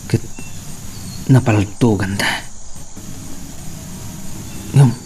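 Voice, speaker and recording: A man's voice: fairly low pitch, extremely slow, darkish tone, distant mic, a little hiss or hum. A man playing an anguished, brooding wounded man in an Ilocano drama, with a Filipino Ilocano accent. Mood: troubled, brooding. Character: anguished wounded man, dreading what may come. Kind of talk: Ilocano radio-style drama acting.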